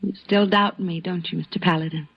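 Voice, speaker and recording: Brave frontier doctor, dull old radio. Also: female voice, lowish voice, steady tone, brisk, slightly rough. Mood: calm, brisk. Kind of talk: 1950s radio drama acting. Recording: dull old radio recording, faint noise.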